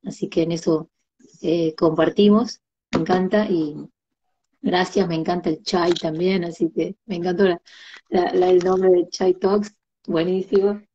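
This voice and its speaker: A woman with an Argentine Tucumán accent, a guest on a live video call, speaking earnestly and gently. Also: lowish voice, steady tone, unhurried, husky. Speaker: interview guest. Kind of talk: casual heartfelt conversation. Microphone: phone on a video call. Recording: dull lo-fi video-call audio.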